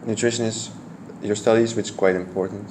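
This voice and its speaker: Man interviewing, halting. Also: fairly low pitch, fairly even intonation, darkish tone, slight background noise. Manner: friendly, halting, searching for words. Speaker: interviewer, student. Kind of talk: casual interview conversation.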